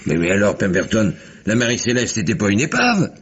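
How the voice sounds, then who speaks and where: An actor playing a curious drinking companion who prods a storyteller with short colloquial questions, in 1950s French radio mystery style. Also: male voice, fairly low pitch, wildly swooping pitch, a little fast, fairly bright tone, slightly rough, lo-fi sound, exaggerated voice. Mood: probing. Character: John, curious drinking companion drawing out a sailor's tale.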